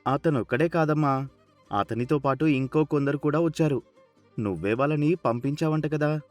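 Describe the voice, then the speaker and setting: A man's voice: a little high, normal speed, a little mellow, soft background music, lightly acted. A man playing a naive, childlike grown son who speaks in an innocent, plaintive way in a dramatized story. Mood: innocent, explaining.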